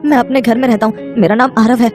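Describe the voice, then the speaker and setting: महिला की आवाज़ में तेज़ बोलता मासूम छोटा बच्चा. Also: female voice, on the high side, steady tone, fast, darkish tone, soft background music, close to an everyday voice. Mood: lost, hungry. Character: lost little schoolboy, polite and innocent.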